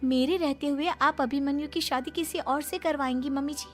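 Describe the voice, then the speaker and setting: Woman playing a plaintive, high-voiced young wife. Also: fairly even intonation, normal speed, over music, close to an everyday voice. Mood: indignant. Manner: plaintive, indignant. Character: wronged, protesting young daughter-in-law. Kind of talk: Hindi family melodrama story acting.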